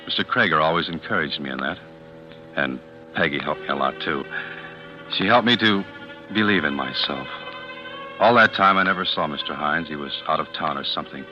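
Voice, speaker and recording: Very deep-voiced brooding ex-convict. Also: male voice, expressive, brisk, over music. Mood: brooding, confiding. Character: bitter, brooding male ex-convict turned clerk. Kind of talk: 1940s radio drama acting, confiding first-person narration. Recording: muffled old radio recording.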